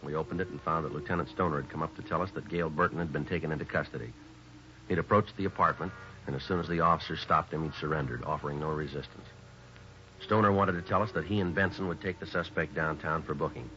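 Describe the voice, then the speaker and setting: A man playing a terse, no-nonsense police detective in a deadpan, clipped 1950s radio style. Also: very deep voice, fast talker. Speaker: actor, narrator and lead of a radio police drama. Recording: dull, noisy 1950s radio recording.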